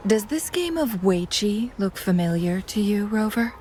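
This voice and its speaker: A woman's voice: mid-range voice, lively intonation, unhurried, a little mellow, faint music behind, lightly acted. A woman playing a gracious, composed, subtly teasing strategist in a video game, speaking formally and politely. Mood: gracious, soft.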